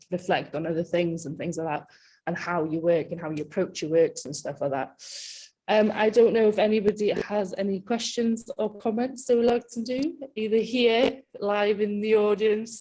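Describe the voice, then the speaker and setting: A woman's voice: fairly low pitch, a little mellow, poor audio. A woman moderating an artists' panel, chatty and informal. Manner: chatty, informal, laughs easily. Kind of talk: hosting a gallery talk. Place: gallery with live and online audience.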